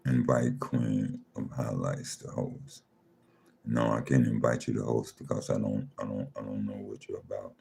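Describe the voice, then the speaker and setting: Male host, very deep dark voice with heavy fry, calm and unhurried, live-room chat. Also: a little hiss or hum. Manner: slow, calm, repeats himself, reads names off a screen. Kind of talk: casual livestream chat, greeting listeners.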